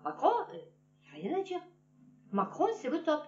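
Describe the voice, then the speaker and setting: A woman with a Marseille accent rants in a blunt, slangy way and chuckles at her own jokes. Her fairly high voice swings up and down a lot. She sits back from the mic in an echoey room, and the sound is a little dull. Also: very animated, measured pace, a little mellow, recorded from a distance. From Marseille, France. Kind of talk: casual political rant to camera.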